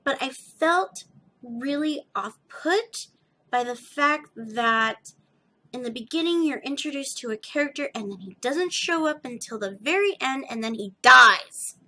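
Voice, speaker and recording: A young woman with a slightly gravelly edge, chatting casually and emphatically as a podcast host, often restarting her sentences. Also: high voice, a little slow, rough recording. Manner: animated, emphatic, self-interrupting. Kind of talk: casual rambling chat to viewers.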